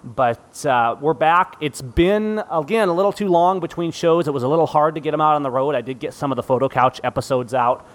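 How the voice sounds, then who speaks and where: A man's voice: on the high side, fairly quick, few pauses. Upbeat man hosting a podcast. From Central Washington. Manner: upbeat, joking, self-deprecating. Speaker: podcast host. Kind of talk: casual panel podcast chat. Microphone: studio podcast mic.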